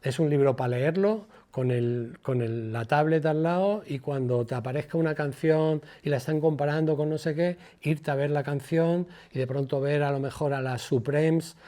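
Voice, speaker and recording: An older man with a Spanish accent from Spain gives an informal lecture, colloquial and digressive, halting and repeating words as he goes. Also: fairly high pitch, warm tone. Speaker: guest lecturer, former radio presenter. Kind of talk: informal public lecture with personal anecdotes.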